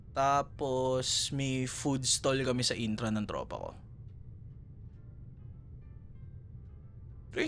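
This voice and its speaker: A man's voice: mid-range voice, gravelly, fairly bright tone. A young man with a Filipino accent playing a playful, teasing ex-boyfriend in a casual, conversational audio roleplay, slipping between two languages. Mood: casual, modest. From Philippines. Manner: teasing, chuckles often, Taglish code-switching. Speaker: roleplay voice performer.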